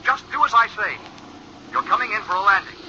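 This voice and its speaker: An actor playing an urgent, commanding police inspector in a 1950s radio drama. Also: male voice, high voice, big pitch swings, a little fast, bright, forceful tone, heavily acted. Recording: noisy, muffled old radio recording.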